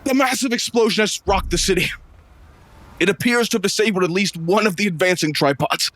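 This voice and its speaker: A man's voice: sits high, fairly quick, fairly bright tone, a little hiss or hum. A man playing a grave, urgent radio news reporter holding his post during an invasion, in old-time radio drama style. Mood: urgent, alarmed.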